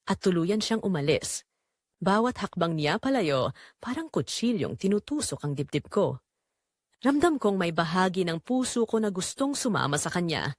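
A woman with a Filipino accent reads dramatic first-person scripted narration as a storyteller, in an emotional, expressive way. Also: lowish voice, moderate pace, no room sound, clean studio sound.

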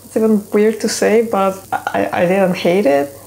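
A woman's voice: medium pitch, unhurried, gravelly, a little mellow, low-quality recording. A woman in her thirties, an illustrator, chatting candidly to the camera in a casual studio vlog, recorded close up in a small home studio. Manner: chatty and candid, with light laughs. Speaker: illustrator vlogger.

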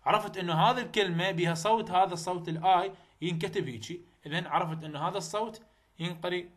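A young man with an Iraqi Arabic accent explains English phonetics in a casual lecture. His voice sits a little high. He repeats example words loudly and slowly. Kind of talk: screen-recorded lecture.

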